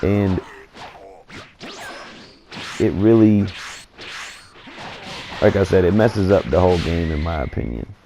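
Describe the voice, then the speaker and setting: A man getting over a cold, his voice scratchy and gravelly, giving laid-back, opinionated commentary on a video game. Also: low-pitched, takes it slowly, long pauses, dark tone. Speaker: gaming commentator. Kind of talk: casual gaming commentary.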